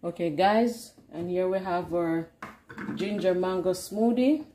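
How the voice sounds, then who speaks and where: A woman's voice: on the low side, measured pace, mellow. A woman hosting a cooking vlog, giving a casual recipe demonstration in a home kitchen. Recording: roomy kitchen echo with faint hiss.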